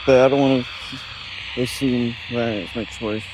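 A man's voice: mid-range voice, fairly even intonation, normal speed. Casual man thinking aloud, faint static. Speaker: radio hobbyist commenting on received CB chatter. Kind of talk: casual off-the-cuff commentary.

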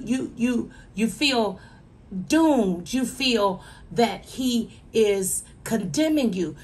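A woman minister gives an earnest sermon in a hoarse, husky voice with a gravelly edge. She speaks slowly and a little high, addressing the listener directly and doubling words as she presses her points. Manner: earnest, repeats words for emphasis.

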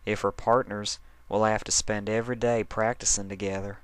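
A man's voice: lowish voice, flat delivery, moderate pace, no echo, lightly acted. A man voicing a friendly, teasing female farm pony with a folksy, coaxing manner in a story reading.

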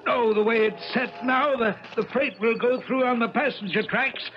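High, desperate older uncle. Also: male voice, moderate pace, lo-fi sound. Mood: frantic, straining. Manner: urgent, stammering, repeats himself. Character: desperate older uncle, feverishly determined railway man. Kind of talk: 1970s radio drama acting. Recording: noisy, crackly old radio recording.

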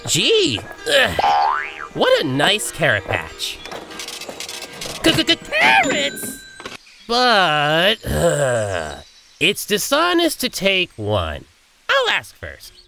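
A man's voice: high-pitched, wildly swooping pitch, drawn-out words, gravelly, pauses often, heavily acted. A man voicing a meek, hungry cartoon rabbit who turns into a superhero, with a stammering, polite manner. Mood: pleading. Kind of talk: comic dub voice acting. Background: music.

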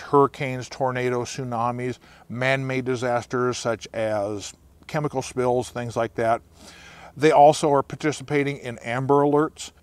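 A man's voice: medium pitch, dry recording. An American man in his sixties hosting an informal outdoor explainer, talking to camera into a lapel mic in the woods. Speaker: outdoor preparedness video host.

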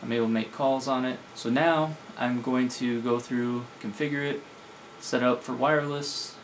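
Creaky-voiced man, casual tech demo. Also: mid-range voice, steady tone, moderate pace, a little hiss or hum. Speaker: tech video host. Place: home lab room, indoors.

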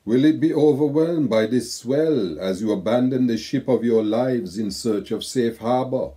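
An older man from Saint Kitts and Nevis reading his own poetic letter aloud in a slow, measured way, recorded on a webcam at home. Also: mid-range voice, mellow. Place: home room with bookshelves.

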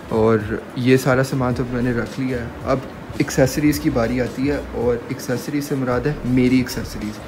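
A man's voice: mid-range voice, background noise. A young man, chatting casually to a handheld camera as he packs in his bedroom and then works in a courtyard. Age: young adult. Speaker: vlogger. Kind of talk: casual vlog talk to camera. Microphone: handheld camera at arm's length.

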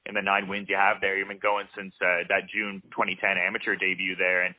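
Fast-talking low-voiced male interviewer. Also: completely flat delivery, no room sound. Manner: wordy, long winding questions. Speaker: interviewer, host. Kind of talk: casual interview questions. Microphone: studio mic with headphones. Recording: dry, tinny phone-like sound.

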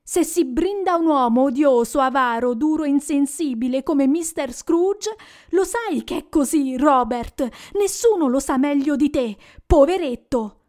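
A woman voicing an indignant, sharp-tongued mother in an audiobook reading. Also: high voice, lively intonation, measured pace, pitched above the performer's own voice. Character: fierce indignant mother at a poor family's table.

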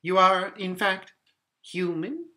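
A man's voice: high-pitched, takes it slowly, bright, forceful tone, pitched above the performer's own voice, full character voice. A man voicing a courteous, flustered faun in a children's storybook, fussy and polite, often stammering and repeating himself. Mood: polite, inquisitive. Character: courteous, flustered young-hearted male faun, eager to please.

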